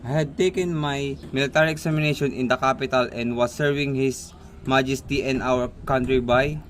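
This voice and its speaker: A man's voice: mid-range voice, natural voice. A man playing a proud, dutiful military knight in an amateur radio drama, reciting formally with small stumbles. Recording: faint background noise, amateur recording.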